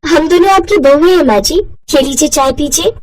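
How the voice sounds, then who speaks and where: Woman doing a high-pitched, innocent young bride. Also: moderate pace, natural voice. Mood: gentle, respectful. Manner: respectful, innocent. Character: dutiful, naive young village daughter-in-law, modest woman.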